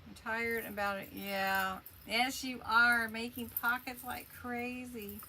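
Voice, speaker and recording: A woman's voice: a little high, level tone, slow pace, sharp tone, slight background noise. A woman hosting a casual craft livestream, chatting along in a rambling way as she works and answers viewer comments.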